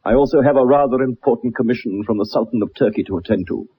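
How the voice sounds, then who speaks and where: An actor playing a keen, self-assured gentleman detective with precise, formal speech in a 1960s radio drama. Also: medium pitch, fast, breathy edge. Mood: brisk, assured. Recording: muffled old radio recording.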